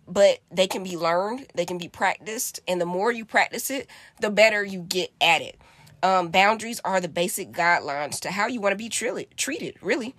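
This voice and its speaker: A woman's voice: medium pitch, no room sound. A woman co-hosting a casual podcast, speaking emphatically and affirmingly. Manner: warm-hearted, emphatic, affirming. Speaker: podcast co-host.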